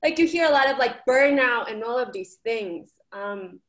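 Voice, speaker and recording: A woman's voice: on the high side, lively intonation, normal speed. A young woman from Ecuador, a program coordinator, talking earnestly and casually with many fillers. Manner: earnest, uses many fillers like "like" and "you know". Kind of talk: casual conversational reflection.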